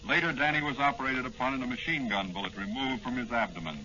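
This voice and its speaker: A man's voice: medium pitch, quick pace, pushed and bright. A man delivering scripted dramatic narration in the style of a 1940s radio serial. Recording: muffled old-time radio recording. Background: music.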